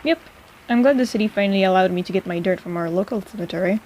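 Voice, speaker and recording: A woman's voice: medium pitch, fast talker, darkish tone, highs cut off, slight background noise, lightly acted. A woman playing a sarcastic but caring apothecary in an intimate personal-attention audio roleplay, with a playful, chiding manner. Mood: sardonic, teasing.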